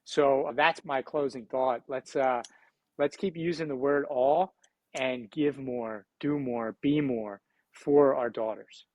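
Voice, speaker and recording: A man with a Philly accent talks as an interview guest. His voice sits at an average pitch, in a dry, close recording. He is earnest and reflective, phrasing things to motivate. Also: fairly even intonation, slow, dry recording, poor audio. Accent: Philadelphia. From Philadelphia, United States. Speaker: podcast guest, entrepreneur. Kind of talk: conversational interview.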